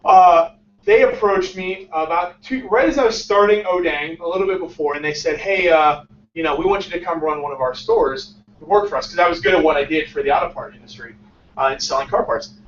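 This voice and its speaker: A man in his late twenties tells his startup story to a packed room and acts out each exchange with a lot of bounce. His voice sits high for a man and is caught from a distance, roomy and a little dull, with faint room hum behind him. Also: a little fast. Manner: animated, acts out dialogue, laughs often. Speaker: startup founder giving a talk. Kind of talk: casual storytelling talk to a small audience. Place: small crowded meeting room, indoors. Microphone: far camera, no visible mic.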